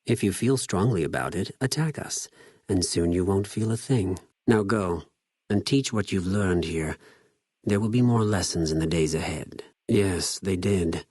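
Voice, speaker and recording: An actor playing a cold, zealous, contemptuous legion spy in a video game, speaking formally with measured condescension. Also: male voice, deep voice, expressive, a little mellow, slightly rough, no echo, studio quality, exaggerated voice. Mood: calm condescension. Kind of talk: scripted video game character dialogue.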